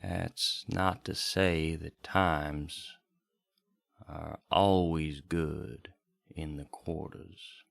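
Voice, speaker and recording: A man playing a wandering bard who hosts a radio hour, telling stories in a measured, literary and reflective manner. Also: a bass voice, fairly even intonation, vocal fry, a bit bright, no room sound, full character voice. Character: male wandering bard reflecting on his community. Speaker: bardic radio host. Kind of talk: in-character storytelling for a radio drama.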